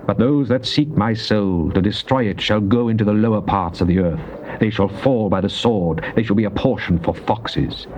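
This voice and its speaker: An actor playing a devout, fervent psalmist, declaiming in a solemn, measured way in a dramatized scripture reading. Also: male voice, on the low side, a little mellow, lightly acted. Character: devout psalmist, fervent man praying to God. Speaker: scripture reader. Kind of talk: dramatized King James Bible reading. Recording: muffled old cassette with faint hiss.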